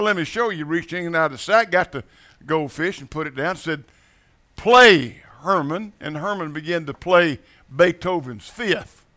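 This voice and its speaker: Folksy Mississippi preacher telling jokes. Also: male voice, fairly high pitch, pushed and bright. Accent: Mississippi Southern. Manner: halting, repeats words, chuckles often. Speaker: guest preacher. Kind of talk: folksy storytelling sermon with jokes. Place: church sanctuary with congregation.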